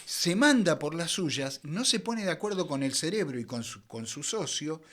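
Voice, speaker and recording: Animated middle-aged Buenos Aires man. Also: a little high, moderate pace. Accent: Argentine, Buenos Aires. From Argentina. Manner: expressive storyteller, acts out quoted dialogue. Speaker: interview guest, veteran voice actor. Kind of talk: conversational anecdote telling.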